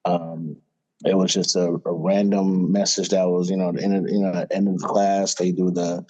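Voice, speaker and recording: A middle-aged man from Florida talks through his past in an easygoing podcast chat. His voice sits low and mellow, and he leans on fillers as he goes. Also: normal speed, breathy edge, highs cut off. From Florida, USA. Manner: relaxed storytelling with fillers. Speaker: head wrestling coach, guest. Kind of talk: casual interview talk.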